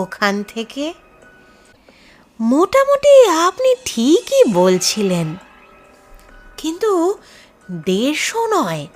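A woman voicing a humble, melancholy ghostly male temple priest in an audio horror story, speaking in halting, drawn-out phrases. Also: mid-range voice, a bit bright, voice pushed down, full character voice. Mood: humble, eerie, then ominous.

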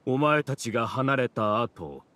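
An actor playing an arrogant mastermind villain in a video game, speaking with haughty, theatrical contempt. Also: male voice, medium pitch, animated, unhurried, bright, forceful tone, no room sound. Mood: scornful. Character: arrogant villainous male mastermind ruling a hive mind. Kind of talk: Japanese video game voice acting.

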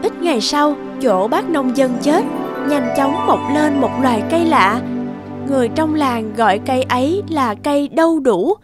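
A woman reading scripted narration for an animated folktale. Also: high voice, normal speed. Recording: music behind the voice.

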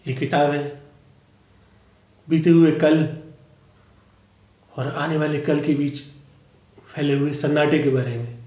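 Man acting a slow, solemn, aggrieved aging father. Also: on the high side, level tone, warm tone. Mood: solemn, reflective. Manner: solemn, measured, earnest, with long pauses. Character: aggrieved, dignified aging father, a humble author addressing an audience. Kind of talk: dramatic film monologue, delivered as a formal speech. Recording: echoey room.

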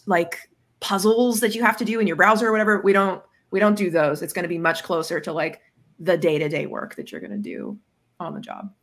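An informal woman moderating a livestream panel over video call, peppering her talk with filler words. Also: lowish voice. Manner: informal, says "like" often. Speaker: host. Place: indoor room, video call.

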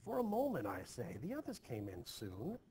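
A man playing a stern, troubled, short-tempered Puritan farmer in an expressive play reading, with forceful archaic phrasing. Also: mid-range voice, normal speed. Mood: defensive, irritated. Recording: noisy home recording.

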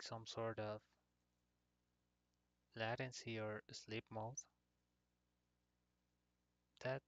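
A man narrating an informal software tutorial in short, hesitant phrases. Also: fairly low pitch, no echo. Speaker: tutorial presenter. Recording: dull lo-fi computer microphone.

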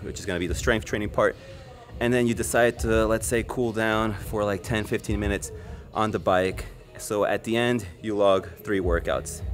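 A man in his thirties with a lowish voice gives relaxed step-by-step tips straight to the camera. He is recorded up close in a big indoor gym. Music plays steadily under his voice. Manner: relaxed, explanatory, addresses viewers directly. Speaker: fitness YouTuber. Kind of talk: casual how-to vlog. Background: background music.

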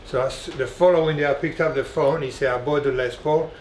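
Man, middle-aged, from France, collector guest, lively storyteller, slightly high voice, echoey room. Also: fast, slight background noise. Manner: animated anecdotes, laughing often. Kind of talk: storytelling interview. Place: dim indoor flat room.

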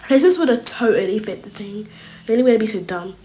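A woman's voice: mid-range voice, lively intonation, fast, warm tone, slight background noise, close to an everyday voice. A woman playing a scheming, cliquey schoolgirl in an improvised school role-play, chatty and slangy. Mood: gossipy, complaining. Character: scheming, cliquey schoolgirl plotting against teachers.

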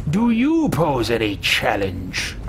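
A man's voice: mid-range voice, huge pitch swings, slow, noisy background. A man in his thirties voicing a haughty, greedy male mage adventurer with pompous, theatrical disdain in a video game roleplay. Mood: bored, imperious. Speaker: let's-play host roleplaying a game character. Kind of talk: theatrical in-character game commentary.

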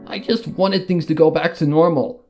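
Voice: medium pitch, moderate pace, darkish tone, lightly acted. An actor playing a grieving, bitter cartoon pony mare, telling her tragedy in a dramatic first-person fan fiction reading. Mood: sorrowful. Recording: music under the voice.

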